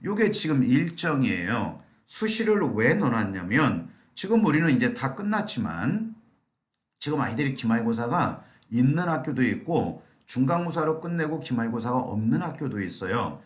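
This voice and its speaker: Middle-aged male consultant, tired husky throat, animated intonation, explaining to a seminar in an echoey room. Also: mid-range voice, moderate pace. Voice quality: slightly hoarse, thick voice. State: strained throat from long talking. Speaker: admissions consultant giving a lecture.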